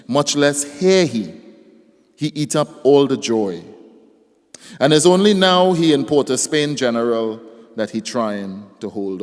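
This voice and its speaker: A male poet reads his work at a live event, taking each line slowly and deliberately. His voice sits at an ordinary pitch and gathers force on the poems themselves. The hall lends it a long, lingering reverb. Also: a little mellow. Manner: slow, deliberate recitation that rises in force on the poems. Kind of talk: live poetry reading with casual stage banter.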